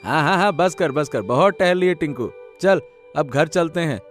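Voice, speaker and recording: A man voicing an honest village labourer in an animated moral story, admonishing and exclaiming. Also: on the high side, normal speed, soft background music, natural voice. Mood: scolding. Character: honest, scolding village labourer, weary master of a donkey.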